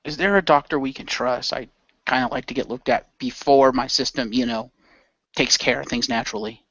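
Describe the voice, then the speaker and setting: A man's voice: mid-range voice, steady tone, a little fast, a bit bright, dry recording, highs cut off. A man playing an easygoing, practical teammate in an amateur radio play, with a casual, understated delivery. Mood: uneasy, reassuring. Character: Jeff, easygoing injured male teammate, practical.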